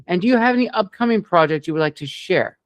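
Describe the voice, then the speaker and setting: A man speaks as an interview show host in a smooth, clean voice that sits a little high. His phrasing is formal and announcer-like. The sound is dry and free of room echo. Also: expressive, fast. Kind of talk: scripted-sounding show hosting.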